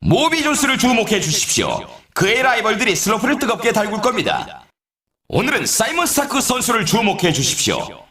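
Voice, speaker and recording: An actor playing an excitable, showy snowboard race announcer in a video game, delivering scripted commentary with dramatic rhetorical flair. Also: male voice, high-pitched, a little fast, heavily acted. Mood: excited, suspenseful. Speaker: sports announcer. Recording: roomy echo, distant from mic.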